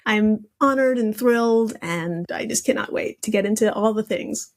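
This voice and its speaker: A woman's voice: mid-range voice, moderate pace. An enthusiastic, jokey woman chatting casually as a podcast guest. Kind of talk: casual chat with joking asides.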